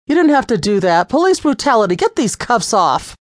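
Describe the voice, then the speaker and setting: A reader voicing a furious, spoiled rich young woman arrested for speeding, shouting indignantly in a storybook reading. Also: medium pitch, very animated, a little fast, no echo, studio quality, voice pushed up, close to an everyday voice.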